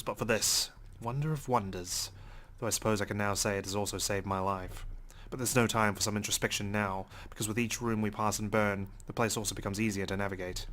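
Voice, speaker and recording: A man's voice: lowish voice, a bit bright. A man reading visual novel text aloud as dramatic first-person narration. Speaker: let's play host reading game text aloud.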